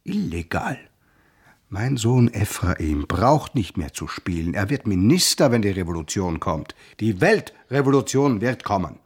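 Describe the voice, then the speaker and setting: An actor playing a humble, devout old coachman in a radio drama, telling things in a plaintive, emphatic way with a husky voice. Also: on the low side, very animated, unhurried, heavily acted. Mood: proud.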